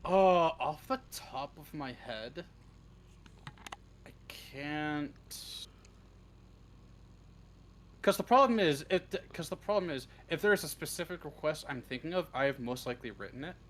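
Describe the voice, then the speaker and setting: A man chatting casually as an interview guest, halting and hesitant as he goes, with plenty of swearing. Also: a little high, animated, fairly bright tone. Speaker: interview guest, script writer. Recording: clearly noisy live stream audio.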